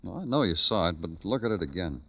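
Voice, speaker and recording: A man's voice: sits low, sing-song, fast talker, sharp tone, heavily acted. A man acting a brooding, probing police lieutenant who narrates a classic radio crime drama, with clipped delivery. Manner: clipped questioning, poetic brooding monologue. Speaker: lead detective and narrator. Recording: old radio drama with music behind.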